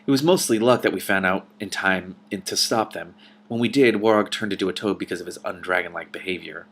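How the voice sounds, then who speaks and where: A man's voice: sits low, a little fast, a bit bright, a little hiss or hum. A man voicing a frank, worried young woman who explains things matter-of-factly in a storybook read-aloud.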